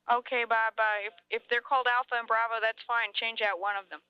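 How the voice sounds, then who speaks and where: Female mission communicator, smooth clear voice, procedural radio talk, dull narrowed transmission. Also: on the high side, fairly even intonation, a little fast. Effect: two-way radio.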